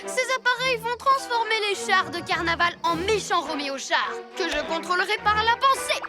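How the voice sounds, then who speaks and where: Woman as a high, swooping boy cartoon villain. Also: lively intonation, normal speed. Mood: gloating, scheming. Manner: grandiose, theatrical. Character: boastful young boy mad-scientist villain, scheming for world domination. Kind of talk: animated children's cartoon dub. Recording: loud music behind the voice.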